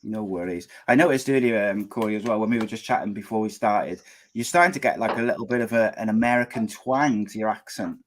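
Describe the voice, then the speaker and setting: A man with a lowish voice hosts a live podcast. He talks in a relaxed, rambling way, full of "uh"s and restarts. He sounds like a friendly regular running the show. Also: fast. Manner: chatty, loose, many fillers and restarts. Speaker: podcast host. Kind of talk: live show hosting and interviewing.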